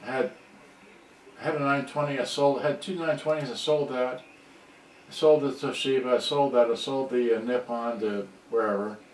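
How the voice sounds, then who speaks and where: A middle-aged man thinking aloud in a halting, rambling way during a casual live repair demonstration. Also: medium pitch, steady tone. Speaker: hobbyist electronics repairer on a livestream. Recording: distant mic, echoey room, background noise.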